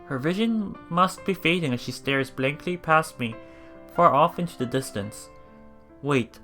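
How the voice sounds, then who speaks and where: A man's voice: a little high, expressive, a little slow, pushed and bright, faint music behind. A man narrating a visual novel aloud for a let's play, reading expressively and earnestly. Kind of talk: dramatic reading of visual novel text with live reactions.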